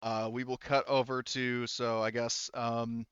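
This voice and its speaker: A man's voice: mid-range voice, little pitch movement, measured pace, a bit bright, clear, resonant tone, no echo, dull audio. A man running a tabletop game as game master, narrating casually and explaining rules, often hedging and correcting himself.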